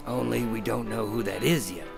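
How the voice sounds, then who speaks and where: Man playing a gravelly, wry undercover agent. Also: lowish voice, fairly even intonation, normal speed, music in the background. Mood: wary, incredulous. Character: wry, wary undercover FBI agent narrating his story. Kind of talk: noir-like scripted narration and radio drama acting.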